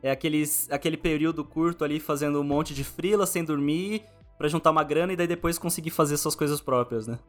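A man's voice: fairly high pitch, quick pace, soft background music. A man with a Brazilian accent, hosting a podcast and chatting casually with a guest. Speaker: podcast host. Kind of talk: casual interview chat.

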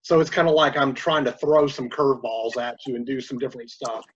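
Male podcast interviewer, hesitant and filler-heavy, husky voice on a dull lo-fi recording. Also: mid-range voice, a little fast. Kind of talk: casual interview.

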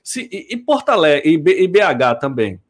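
A man with a high voice chats casually on a podcast. He pokes fun at himself and laughs easily between questions. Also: sing-song, normal speed. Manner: self-deprecating, laughs easily.

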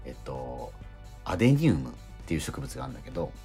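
A man's voice: extremely low pitch, big pitch swings, quick pace, vocal fry, mellow, soft background music. A man co-hosting a radio show, chatting casually and telling a rambling story full of fillers. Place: radio studio.

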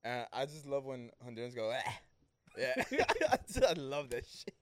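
A man's voice: mid-range voice, sing-song, moderate pace, no echo. Young guy joking around on a podcast, bouncy voice, lots of laughing. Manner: laughing, bantering, switches into Spanish words. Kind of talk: casual podcast chat.

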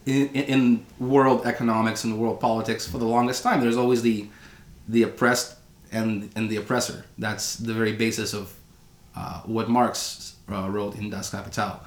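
A man's voice: on the low side, flat delivery, moderate pace, reverberant. A man co-hosting a podcast, chatting casually and drawing out political points. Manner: musing, draws political points. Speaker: podcast co-host. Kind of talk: casual chat.

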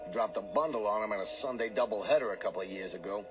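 An actor playing a blunt, world-weary bartender in a radio drama, talking in a brusque, wry, colloquial way. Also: male voice, on the low side, fast, music in the background, natural voice. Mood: teasing, gruff.

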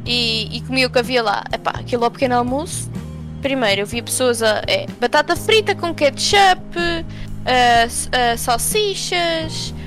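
High-voiced young Portuguese woman over music. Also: normal speed. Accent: European Portuguese. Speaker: interview guest. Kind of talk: casual conversational anecdotes.

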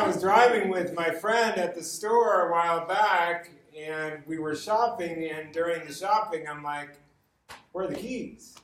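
An echoey recording, picked up at some distance, of an American man preaching. His voice sits on the higher side for a man. He speaks informally, like someone sharing personal stories, and repeats words for emphasis. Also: background noise. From Los Angeles, United States. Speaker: guest preacher. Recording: roomy echo, distant from the mic.

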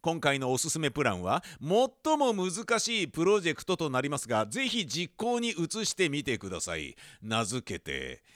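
Animated, high-voiced male office manager played by a man. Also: normal speed, hardly any pauses, no room sound, clean studio sound. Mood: eager, emphatic. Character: earnest, exasperated male office department manager in a comedy. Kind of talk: comedic radio drama acting. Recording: music behind the voice.

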